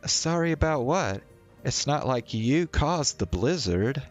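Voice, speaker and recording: Man playing a young woman for visual novel: friendly, playful, teasing, raised pitch, lilting, faint music underneath. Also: lively intonation, slow pace. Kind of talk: visual novel read-aloud.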